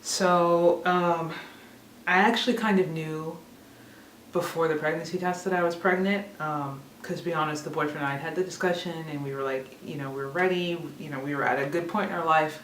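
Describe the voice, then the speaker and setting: A woman's voice: deep voice, steady tone, moderate pace. A woman in her thirties chatting casually to camera as a vlogger, rambling and laughing at herself. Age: 30s. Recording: echoey room, mic a bit far off, faint hiss.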